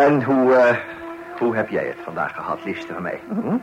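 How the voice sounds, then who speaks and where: A man's voice: fairly low pitch, sing-song, normal speed, music in the background. An actor playing a family man, a husband and father, in a radio play. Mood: affable, fond. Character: husband and father, mild but easily exasperated. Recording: dull, noisy old radio recording.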